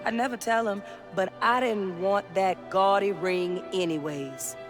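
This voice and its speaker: A woman's voice: medium pitch, a little slow, lightly acted. A woman playing a proud, tender middle-aged Southern mother in a reflective video game voice-over. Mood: reminiscing. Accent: American South. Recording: music under the voice. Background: game music.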